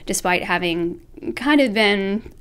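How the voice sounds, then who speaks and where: A woman's voice: mid-range voice, expressive, takes it slowly, fairly bright tone, clear, resonant tone. A young woman from Alabama, an artist talking earnestly and reflectively as a guest in a casual interview. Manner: earnest, reflective, self-correcting.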